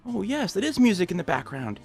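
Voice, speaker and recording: A man's voice: high voice, very animated, fairly quick, a little mellow, soft background music. A man in an audio drama playing a timid, eager, put-upon clerk, hesitant and a little whiny. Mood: defensive.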